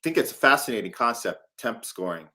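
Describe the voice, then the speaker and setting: Halting male interviewer thinking out loud. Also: mid-range voice, very animated. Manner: halting, repeats words while working out questions. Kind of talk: conversational interview.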